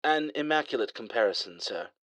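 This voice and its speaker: A man's voice: mid-range voice, animated, a little fast, a bit bright, no echo, natural voice. A man voicing a prim, dryly sarcastic male ship AI that speaks with a light English accent. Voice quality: smooth, polite, dry.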